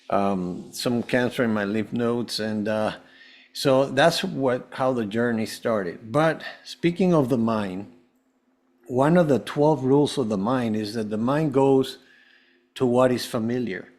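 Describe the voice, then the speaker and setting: A man from Venezuela, a podcast guest, telling a personal story in a halting way, often repeating words. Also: medium pitch, darkish tone. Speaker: podcast guest, holistic health coach.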